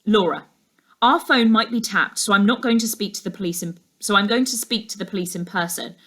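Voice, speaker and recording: A woman voicing a serious ex-detective uncle in a storybook, speaking in a measured, authoritative way. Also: mid-range voice, fairly bright tone, lightly acted. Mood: urgent, authoritative. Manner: measured, commanding, matter-of-fact.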